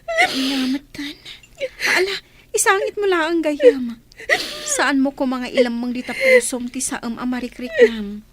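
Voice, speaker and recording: A woman's voice: high-pitched, sing-song, a little slow, a bit bright, exaggerated voice. A woman acting a frank young village girl in an Ilocano radio drama. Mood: pleading.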